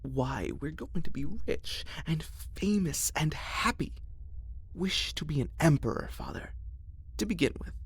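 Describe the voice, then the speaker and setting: A man's voice: fairly high pitch, very animated, unhurried, creaky, husky, pitched above the performer's own voice, full character voice. A man doing a cheeky joking son. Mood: mocking. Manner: playful, mocking whisper.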